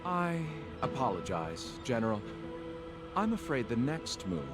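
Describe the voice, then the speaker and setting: An actor playing a polite, scheming male healer in a game, arguing his case calmly and persuasively. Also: mid-range voice, measured pace. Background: game music.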